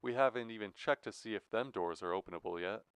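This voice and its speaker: A man voicing a nervous, excitable, folksy old-time American hobo in an audiobook. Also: on the low side, fast, clear, resonant tone, no room sound, close to an everyday voice.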